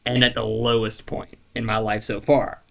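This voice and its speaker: A man's voice: on the low side, flat delivery, moderate pace, vocal fry, a bit bright. A man talking casually to camera in a rambling way, searching for words with lots of fillers, restarts and the odd swear. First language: not French. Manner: laid-back, self-deprecating, swears casually. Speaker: YouTuber.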